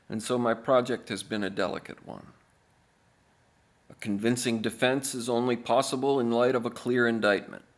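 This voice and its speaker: A man reading aloud as an author, in a deadpan, measured manner with deliberate pauses. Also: medium pitch. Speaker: author reading own book.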